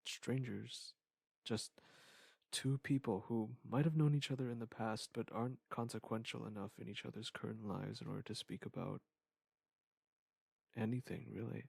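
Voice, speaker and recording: A man acting a wistful former lover in an intimate scripted monologue, speaking in a halting, reflective way with a husky, gravelly voice. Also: fairly low pitch, steady tone, darkish tone, no room sound. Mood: resigned, wistful.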